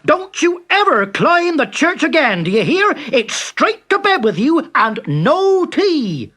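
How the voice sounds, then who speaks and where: A man playing a strict father in a children's story, roaring a telling-off at his son. The voice climbs high and loud and stretches its words out slowly. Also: sing-song, exaggerated voice. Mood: furious. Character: angry father, male adult, strict.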